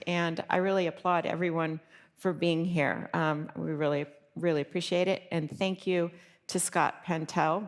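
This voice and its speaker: A woman executive speaking as a panelist at a conference, chatty, with many fillers, rattling off lists quickly. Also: fairly low pitch. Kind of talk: panel discussion. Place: conference hall. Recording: reverberant conference hall.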